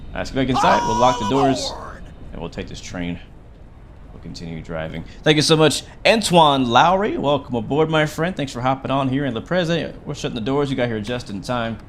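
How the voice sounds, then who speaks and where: A young man chatting excitedly and joking while live-streaming a game. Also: medium pitch, fairly quick. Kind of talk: casual live-stream commentary. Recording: game train noise underneath.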